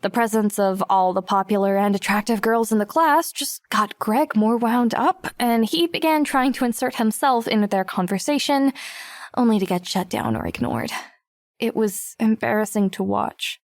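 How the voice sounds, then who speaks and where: A woman's voice: a little high, lively intonation, moderate pace, dry recording, studio quality. An actress playing a wry, self-conscious teenage girl narrating her own story in an audiobook. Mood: embarrassed, wry. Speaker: lead narrator. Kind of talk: first-person audiobook narration.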